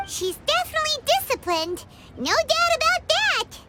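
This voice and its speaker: A woman voicing a small, childlike, excitable fairy companion in a video game, squeaky and cheeky. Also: moderate pace, heavy background noise. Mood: emphatic. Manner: speaks of herself by name, exclaims. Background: children's style game music.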